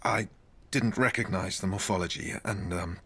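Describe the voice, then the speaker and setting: An actor playing a hesitant, gentle scientist in a game, with a husky voice and halting, measured delivery. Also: male voice, sits low, full character voice. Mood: shaken.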